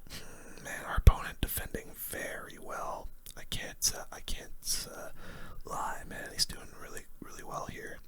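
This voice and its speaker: A young man whispering relaxed, informal ASMR commentary over a game, close to the microphone. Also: a little slow. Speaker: gaming video creator.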